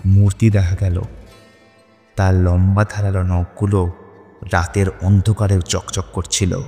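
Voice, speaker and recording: A man's voice: fairly low pitch, dark tone, big-room sound. A man narrating a scripted horror story in a dramatic, suspenseful manner.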